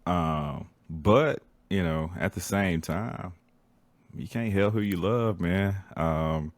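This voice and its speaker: A man's voice: low-pitched, takes it slowly, no echo. A man in his forties chatting casually as a podcast co-host, with slangy, laid-back phrasing. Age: 40s. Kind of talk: casual chat.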